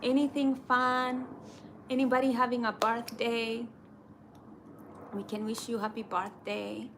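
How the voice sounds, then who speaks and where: A woman's voice: on the high side, little pitch movement, unhurried, fairly bright tone, a little hiss or hum, rough recording. A woman hosting a casual livestream, chatting and reading viewer comments aloud. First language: Kamba.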